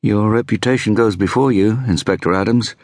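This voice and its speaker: A man voicing a polite young pathology professor who speaks in a courteous, formal, measured way in an audiobook. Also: on the low side, darkish tone, no echo, voice pushed up, natural voice. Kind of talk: audiobook character voice.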